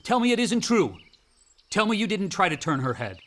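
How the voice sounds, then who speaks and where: Brooding, blunt older son, higher-pitched voice. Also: male voice, very animated, moderate pace, fairly bright tone. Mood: angry, accusing. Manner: blunt, profane, informal. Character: brooding, angry adult older son, George. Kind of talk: naturalistic radio drama acting.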